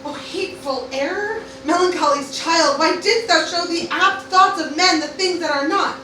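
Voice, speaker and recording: A woman's voice: very high-pitched, normal speed, bright, forceful tone. A woman playing an earnest, grieving teenage girl as a Roman soldier, lamenting dramatically in an amateur Shakespeare radio parody. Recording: very echoey, distant mic, faint noise.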